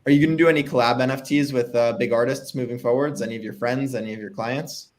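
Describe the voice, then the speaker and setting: A man's voice: medium pitch, steady tone. Upbeat young male stream host. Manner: upbeat, fillers, quick reactions. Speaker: livestream host. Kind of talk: casual interview over video call. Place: home room with framed pictures. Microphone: video call.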